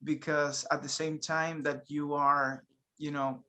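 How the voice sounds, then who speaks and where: A man whose first language is Spanish, co-hosting a casual school podcast and talking in halting English with many fillers and restarts. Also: a little high, little pitch movement, pushed and bright. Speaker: podcast co-host, school staff. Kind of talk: casual chat.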